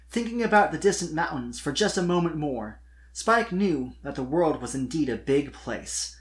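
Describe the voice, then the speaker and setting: A narrator reading fan fiction expressively, in a scripted storytelling style. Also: medium pitch.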